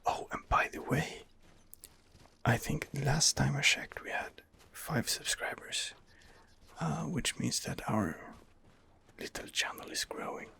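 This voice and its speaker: A man's voice: mid-range voice, slow pace, gravelly, pushed and bright, husky. A man giving whispered ASMR commentary on a game as its host, in a hesitant, rambling manner. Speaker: gaming video host.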